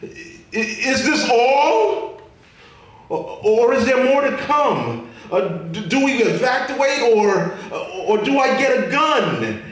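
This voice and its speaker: A man performing spoken word poetry with a theatrical, emphatic delivery that swells and drops to a hush. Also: very high-pitched, big pitch swings, slow. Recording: echoey room from far off, faint noise.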